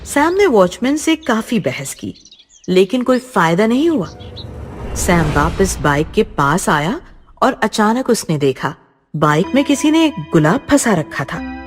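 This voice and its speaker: A woman's voice: mid-range voice, very animated, a little slow, faint music behind. A woman reading scripted horror story narration in a dramatic, suspenseful manner.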